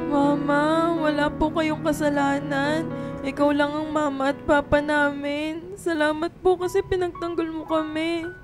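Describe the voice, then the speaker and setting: A woman's voice: high voice, little pitch movement, a little slow, music in the background. A woman playing a frightened, loyal young girl in a radio drama, with a plaintive delivery. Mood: tearful, tender.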